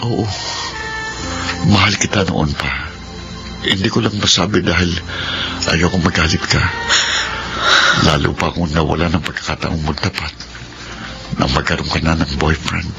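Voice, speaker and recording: Deep-voiced, earnest Filipino suitor. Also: male voice, steady tone, measured pace, creaky, pauses often, dull audio, music in the background, full character voice. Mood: tender, earnest. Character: earnest devoted man confessing long-hidden love to his partner. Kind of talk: Filipino radio drama acting.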